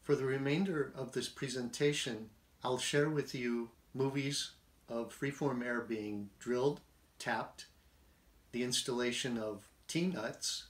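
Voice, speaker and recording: A man in his fifties giving a scripted instructional presentation in a measured, deliberate, technical manner. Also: mid-range voice, fairly even intonation. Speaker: presenter of a product tutorial. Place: small indoor workshop with bare walls. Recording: roomy, echoing workshop sound.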